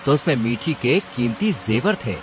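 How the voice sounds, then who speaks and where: A man's voice: mid-range voice, sing-song, moderate pace, background noise. A man narrating a scripted cartoon story as its storyteller.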